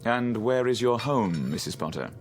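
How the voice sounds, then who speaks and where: A man's voice: mid-range voice, expressive, moderate pace, lightly acted. An actor playing a formal, courteous, reassuring male captain of a wartime ship in a vintage television drama. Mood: politely probing. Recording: old television soundtrack, faint music behind.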